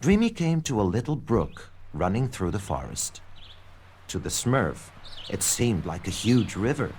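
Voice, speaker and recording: A man narrating a scripted children's read-along story in an expressive, dramatic storytelling manner. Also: on the low side, unhurried, a little hiss or hum. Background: background music.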